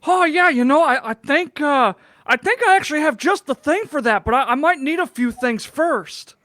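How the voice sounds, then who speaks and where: A woman plays an easygoing dwarf king in a tabletop roleplaying game. The voice sits high and rambles along casually with slangy hesitations. The sound is close and very dry. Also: expressive, normal speed, fairly bright tone, dry recording. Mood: eager, hesitant.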